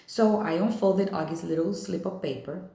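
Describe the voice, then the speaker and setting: A woman's voice: on the low side, normal speed, vocal fry, dark tone. A woman reading a children's novel aloud as a storybook narrator, recorded in an echoey room. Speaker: read-aloud reader.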